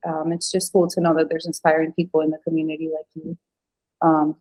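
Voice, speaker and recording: A woman's voice: low voice, monotone, fairly quick, darkish tone, low-quality recording. A woman in her thirties from Venezuela, chatting casually as a podcast guest with many fillers and restarts. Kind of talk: casual interview chat.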